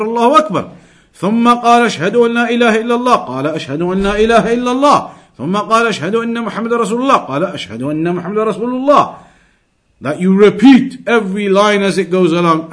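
Fast, higher-pitched man teaching religion. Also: a bit bright. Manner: didactic, emphatic, repeating key phrases. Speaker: Islamic teacher giving a religious lesson. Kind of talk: religious lecture, quoting and translating scripture.

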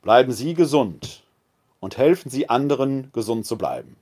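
A man preaching a calm, reflective sermon in a church video podcast, speaking. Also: mid-range voice, normal speed.

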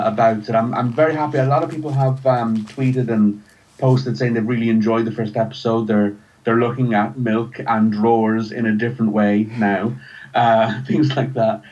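A man's voice: medium pitch, a little mellow. Distant, dull-sounding man being interviewed. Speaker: podcast guest, writer. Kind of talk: casual interview chat.

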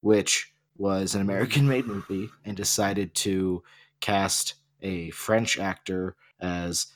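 A man's voice: low-pitched, fairly even intonation, takes it slowly. A man co-hosting a casual podcast discussion, arguing and explaining his points, his voice a bit off from being sick. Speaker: podcast co-host.

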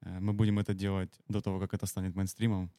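Fast, flat-voiced man, deadpan. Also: low voice, no echo, clean studio sound. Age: 30s. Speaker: podcast host. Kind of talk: casual podcast chat.

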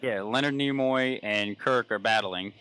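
A man's voice: fairly low pitch, a little slow, sharp tone, clean tone. A man in his twenties on a casual podcast, blunt and crude in his jokes. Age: 20s. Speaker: podcast co-host. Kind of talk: casual chat.

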